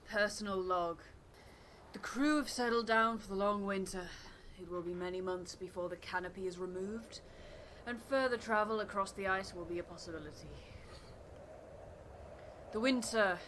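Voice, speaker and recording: Woman voicing a grave, forceful polar ship captain. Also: mid-range voice, normal speed, slight background noise, natural voice. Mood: grave, foreboding. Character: weary male ship captain narrating his grave personal log. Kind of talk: dramatic reading of game dialogue.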